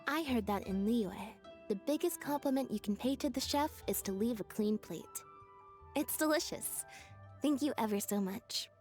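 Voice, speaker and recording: A woman playing a reserved young magician's assistant in a video game, deadpan and understated. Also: a little high, normal speed, over music, lightly acted. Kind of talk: video game cutscene voice acting.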